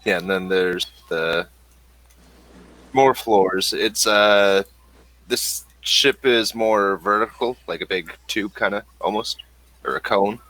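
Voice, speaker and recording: A man narrating a sci-fi tabletop session as game master, with a bright, forward tone set fairly low. He takes his time and describes each scene slowly while he works it out. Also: no room sound, poor audio.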